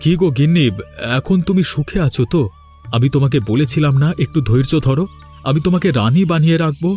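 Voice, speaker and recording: A man's voice: fairly high pitch, quick pace, mellow, faint music behind, close to an everyday voice. A man voicing a proud, hard-working village husband in a cartoon, with an emphatic, declamatory delivery. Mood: proud, tender. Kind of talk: cartoon voice acting.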